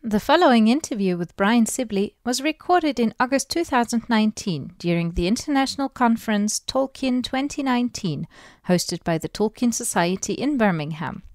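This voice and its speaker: A woman's voice: on the high side, expressive, moderate pace, darkish tone, no room sound, studio quality. A woman hosting a podcast interview, speaking in a conversational style.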